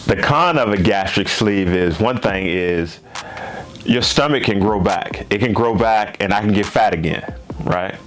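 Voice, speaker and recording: Deep-voiced man in his fifties. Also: a little slow, a bit bright. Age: 50s. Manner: loose, repeats himself with tag questions. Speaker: gaming channel host. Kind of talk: casual chat to camera.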